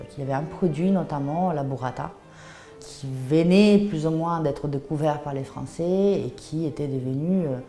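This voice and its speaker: A woman in her thirties with an Italian accent tells of her craft in an interview. Her voice is low and soft-edged, with a warm, dark tone. She thinks aloud with some hesitation, in a room with noticeable echo. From Puglia, southern Italy. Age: 30s. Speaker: artisan cheesemaker and shop founder.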